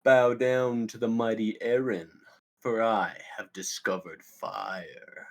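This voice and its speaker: A man playing an awkward, excitable young stoner, cocky and bumbling, in a comedy script read. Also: fairly low pitch, takes it slowly. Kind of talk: comedy screenplay table read.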